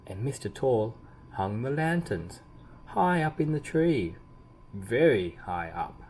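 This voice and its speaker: A man reading a children's story aloud expressively, with deliberate emphasis and drawn-out key words. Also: medium pitch, big pitch swings, darkish tone. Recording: clearly audible background noise.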